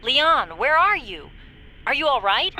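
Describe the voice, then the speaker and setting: A woman's voice: high-pitched, sing-song, a little slow, pushed and bright, slight background noise, exaggerated voice. An actress playing a concerned woman agent giving radio support in a video game cutscene. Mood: worried.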